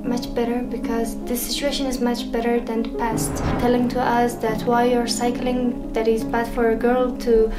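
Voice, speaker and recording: A woman in her twenties with an Afghan accent, answering interview questions in careful, halting second-language speech. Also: fairly high pitch, monotone. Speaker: interviewee, cyclist. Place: small carpeted room indoors. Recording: music behind indoor interview.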